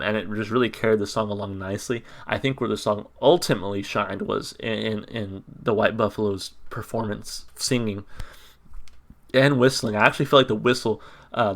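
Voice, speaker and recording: A man reviewing a song on his channel in a casual, monotone way, full of ums and restarts. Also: lowish voice. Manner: hesitant, many ums and restarts. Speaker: music reaction YouTuber. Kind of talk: casual reaction commentary.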